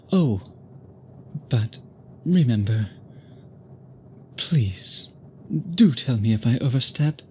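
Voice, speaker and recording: A man performs an obsessed vampire gentleman in an intimate audio roleplay. His mid-pitched voice moves slowly, with formal, flowery phrasing and deliberate pauses, possessive yet held in check. Ambient noise sits behind him. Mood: earnest, careful. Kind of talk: intimate scripted ASMR roleplay, addressed to listener.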